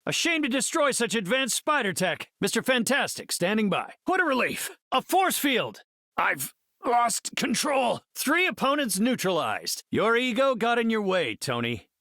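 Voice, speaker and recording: A man's voice: extremely high pitch, big pitch swings, unhurried, pushed and bright, dry recording, clean studio sound, heavily acted. A man playing an earnest, brainy scientist superhero in a video game, speaking in a composed, formal, articulate way. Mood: confident, composed. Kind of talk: video game hero voice lines.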